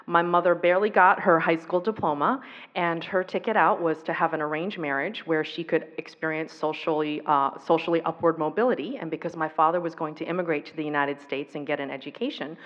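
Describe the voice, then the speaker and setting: A woman's voice: deep voice, fairly even intonation, a bit bright. Earnest woman speaker in an echoey hall. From US East Coast. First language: Taiwanese. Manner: reflective, earnest, with pauses and fillers. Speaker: speaker on violence prevention. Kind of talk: personal keynote talk. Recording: dull recording in an echoey hall.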